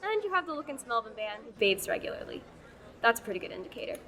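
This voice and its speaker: A woman's voice: a little high, very animated, racing, bright, forceful tone, a faint crowd. A teenage actress playing a blunt, sarcastic young farm girl in a school radio play. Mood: brisk. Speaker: student actor. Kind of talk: amateur radio play acting.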